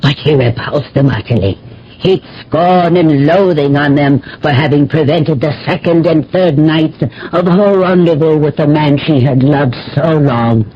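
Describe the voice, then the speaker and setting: A man's voice: fairly high pitch, unhurried, breathy edge. A man playing a devoted, philosophical slave of antiquity in a radio drama, speaking in a formal, dramatic storytelling manner. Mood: anguished. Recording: dull old radio, faint music.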